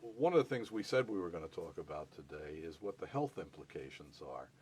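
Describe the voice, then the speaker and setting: A man's voice: low-pitched, sing-song, a little fast, a little mellow, slight background noise. An older man hosting an interview, asking probing questions and restating his guests' points in plain terms. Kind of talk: conversational interview.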